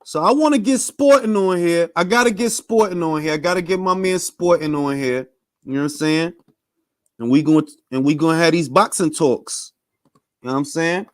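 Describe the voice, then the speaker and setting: A man's voice: a little high, moderate pace, no echo, studio quality. A young man hosting a casual boxing talk stream, bantering with loose, profane energy. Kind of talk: casual live-stream chat with viewers.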